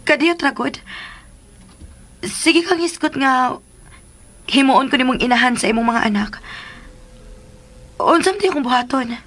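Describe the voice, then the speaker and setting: A woman's voice: high voice, measured pace, long pauses, a bit bright. An actress playing a humble, hesitant young woman in a radio drama, speaking with a Cebuano Filipino accent and a deferential manner. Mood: shy, reluctant. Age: young adult.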